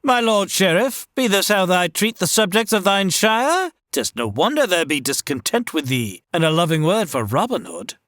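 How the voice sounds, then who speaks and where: Man acting a high-pitched, courtly medieval chancellor. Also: sing-song, moderate pace, few pauses, fairly bright tone, dry recording, clean studio sound. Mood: reproachful. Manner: formal, theatrical. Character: reproachful, courtly medieval Lord Chancellor, male. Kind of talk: audio drama acting in archaic English.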